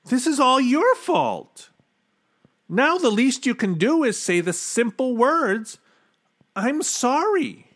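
A man's voice: very high-pitched, big pitch swings, takes it slowly, a bit bright, dry recording, studio quality, pitched above the performer's own voice, heavily acted. A man voicing a bold, earnest and outspoken boy in a storybook, scolding and speaking his mind. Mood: accusing. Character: plain-spoken, bold boy scolding a king. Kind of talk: storybook character voice.

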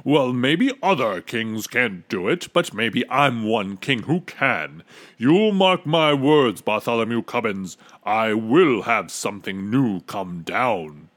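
A cranky old king from a storybook, growling and bellowing at everyone, voiced by a man reading the tale. His lines swing widely in pitch and sound set back from the mic. The room around him is dry and dead. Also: sing-song, drawn-out words, fairly bright tone, no echo, exaggerated voice. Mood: angry, bellowing. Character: grumpy old king, loud and demanding.

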